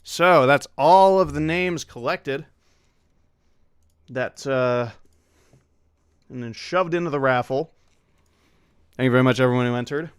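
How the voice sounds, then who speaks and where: A man hosting a live game stream, chatting casually and relaxed, with plenty of fillers. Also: mid-range voice, measured pace, long pauses, smooth, no echo. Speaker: streamer hosting a mod playthrough.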